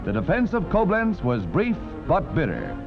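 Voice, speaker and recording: A man's voice: a little high, huge pitch swings, moderate pace, lo-fi sound. An American man reading a scripted 1940s newsreel narration in a dramatic, declamatory style over a vintage soundtrack. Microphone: studio voice-over. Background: loud orchestral music.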